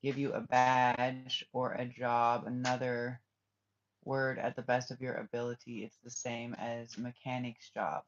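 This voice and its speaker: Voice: mid-range voice, little pitch movement, unhurried. A spiritual reader talking loosely on a live stream, looping through half-finished thoughts before landing on blunt, profane remarks to the chat. The audio is dull and lo-fi, like a muffled stream. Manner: rambling, blunt and profane, answering viewer comments; trails off and restarts often.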